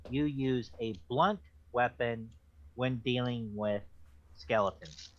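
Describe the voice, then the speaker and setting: A woman's voice: extremely low pitch, takes it slowly. A woman giving casual, joking commentary as part of a book club chat. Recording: slightly dull, lo-fi microphone.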